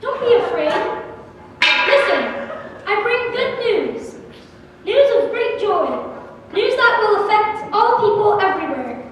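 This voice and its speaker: A woman's voice: extremely high pitch, sing-song, slow pace, long pauses, heavily acted. A woman playing an angel messenger bringing reassuring good news in a scripted church dramatization. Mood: reassuring, proclaiming. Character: angel messenger announcing joyful news to frightened shepherds. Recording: echoey church hall, distant, faint noise.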